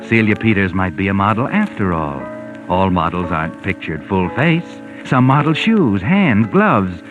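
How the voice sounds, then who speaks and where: An actor playing a sardonic, all-knowing narrator of a 1940s radio mystery, mocking and ominous, with theatrical drawn-out phrasing. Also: male voice, sits low, sing-song. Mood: sly, mocking. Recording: dull vintage radio recording, music behind. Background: music.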